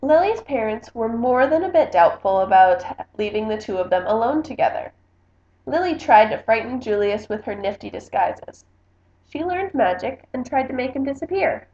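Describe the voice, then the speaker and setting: A woman's voice: a little high, expressive, normal speed, a little mellow. A woman book vlogger reading a picture book aloud in a casual, chatty style. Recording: slightly dull, roomy home recording.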